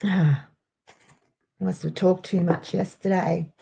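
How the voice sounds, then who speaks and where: Aussie lady doing a craft stream, croaky gravelly voice, takes her time. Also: on the low side, level tone, slow, mellow. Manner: chatty, rambling, greets viewers by name. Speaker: crafting host. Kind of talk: casual live crafting tutorial.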